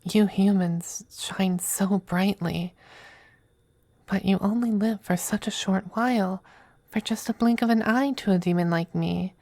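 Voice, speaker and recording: A close, dry, mellow voice for a tender demon femboy played by a woman in an ASMR roleplay. He speaks slowly and softly, slipping into breathy whispers. His manner is gently coaxing and hesitant, lovingly possessive. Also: medium pitch, no room sound. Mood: wistful, devoted.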